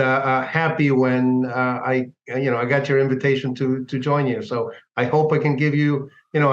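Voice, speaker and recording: A man from Argentina with an Argentine Spanish accent, a guest telling his life story in a casual interview, often hesitating and restarting his sentences. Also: medium pitch. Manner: hesitant, many restarts, laughs easily. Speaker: guest, laundromat owner and business broker. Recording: echoey room, dull lo-fi sound.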